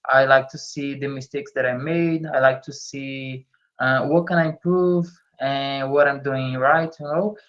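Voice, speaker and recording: A man's voice: mid-range voice, steady tone, measured pace, fairly bright tone. A man who speaks English as a learner, chatting casually and hesitantly with frequent small laughs. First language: not English. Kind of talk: casual chat in a language lesson. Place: online video call. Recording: dull lo-fi call audio.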